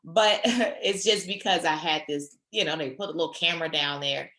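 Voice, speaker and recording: A woman trainer leading a virtual session on a video call, her voice a little hoarse from a sore throat, speaking in an encouraging, conversational way. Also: low-pitched, moderate pace, bright, forceful tone, reverberant.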